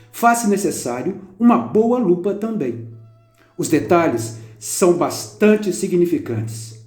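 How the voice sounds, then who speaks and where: A man reads a documentary script in a measured, steady way. His voice sits a touch high yet sounds mellow and warm. The sound is roomy, with faint instrumental music underneath. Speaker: documentary narrator. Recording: roomy narration over soft instrumental music.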